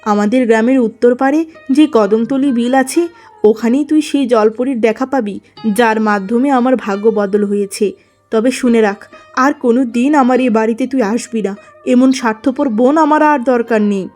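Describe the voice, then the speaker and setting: A woman acts a proud, unforgiving elder sister and wronged wife from a cartoon drama. The high voice is firm and bitterly reproachful. Music plays behind. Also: normal speed, a little mellow, natural voice. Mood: stern, resentful. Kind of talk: melodramatic cartoon story acting.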